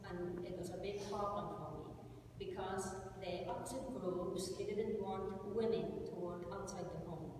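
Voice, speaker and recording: A woman from Afghanistan speaks in a measured, deliberate way to an audience. Her voice comes from a distance in a big hall, with long reverb and a steady noise behind it. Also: on the low side. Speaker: speaker at a public event. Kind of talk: prepared personal testimony. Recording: echoey hall, distant mic, noisy.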